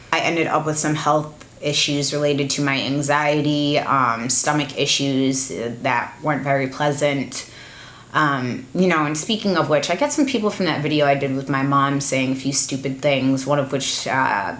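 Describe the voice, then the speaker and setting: A young adult woman giving a blunt, monotone life update to her camera at home, with fillers along the way. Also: sits low, roomy sound. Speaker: beauty YouTuber. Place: room at home, echoey. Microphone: camera with ring light.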